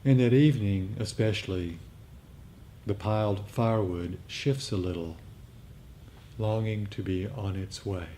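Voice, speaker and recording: A man leading a meditation group, speaking in a measured way, phrase by phrase. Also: lowish voice, warm tone, slight background noise. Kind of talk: poem reading and gentle group instructions.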